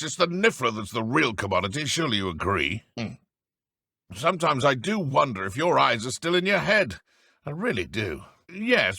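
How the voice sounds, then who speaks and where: An actor playing a haughty, menacing dark wizard in a video game, with a British accent and pompous, formal, theatrical delivery. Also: male voice, medium pitch, husky, no echo, studio quality, full character voice.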